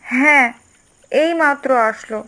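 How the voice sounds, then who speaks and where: Woman as a high-voiced, soothing cartoon mother. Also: measured pace, pushed and bright. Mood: reassuring. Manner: reassuring, coaxing. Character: patient, soothing mother of a young boy. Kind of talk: cartoon voice acting. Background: background music.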